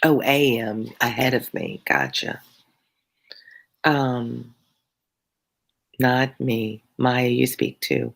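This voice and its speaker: A middle-aged tutor with a gravelly, slightly husky voice reads a classic novel aloud during a live stream. Between passages the tutor chats with viewers in a loose, playful, self-deprecating way. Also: mid-range voice, very slow, pauses often, a bit bright. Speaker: tutor hosting a live read-along. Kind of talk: reading a novel aloud, then chatting with viewers.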